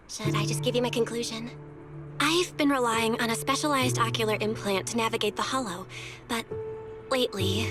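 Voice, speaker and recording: A woman voicing a calm, kind young female manager in a video game, speaking gently with a light teasing touch. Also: high voice, close to an everyday voice.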